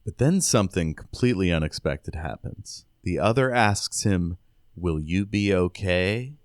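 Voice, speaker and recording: A man's voice: low voice, expressive, a little slow, no echo, studio quality. A man co-hosting a book podcast, recapping a novel in a casual, conversational way and posing questions as he goes. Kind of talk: casual chat with read-out recaps.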